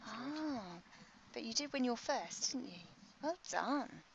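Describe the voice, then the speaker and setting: A woman's voice: fairly high pitch, animated, slow pace, gravelly. A woman giving chatty, informal live sports commentary with colloquial exclamations. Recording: dull lo-fi stream with background noise.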